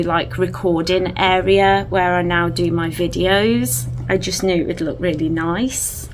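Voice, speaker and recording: A woman's voice: fairly low pitch, slight background noise, poor audio. A woman chatting at home in a casual vlog, gushing over things she bought. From England. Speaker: vlogger. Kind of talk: casual haul chat to camera. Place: at home indoors.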